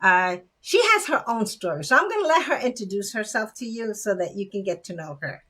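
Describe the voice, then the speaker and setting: A woman's voice: mid-range voice, expressive, fairly quick, pushed and bright. A woman hosting a casual talk show. She speaks directly to her viewers in a chatty, encouraging way. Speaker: podcast host.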